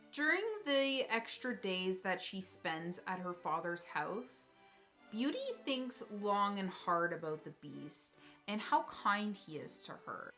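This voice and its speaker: A woman hosting a video, telling a story and commenting in a casual, expressive, chatty way. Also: mid-range voice. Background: background music.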